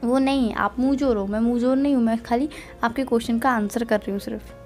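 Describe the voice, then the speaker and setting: High-voiced young Indian woman on a call. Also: steady tone, fast talker, darkish tone. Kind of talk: casual intimate phone chat. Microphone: phone call. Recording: phone call recording, faint music.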